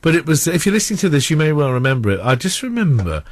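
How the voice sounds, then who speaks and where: A man's voice: on the high side, big pitch swings, fast talker. A man hosting a chatty radio interview, with jokey, teasing banter. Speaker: radio presenter. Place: radio studio.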